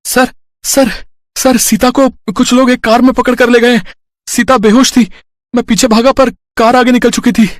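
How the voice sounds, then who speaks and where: A man's voice: very high-pitched, flat delivery, moderate pace, no echo, studio quality, voice pushed up. A man voicing a panicked, urgent young hotel worker in a story.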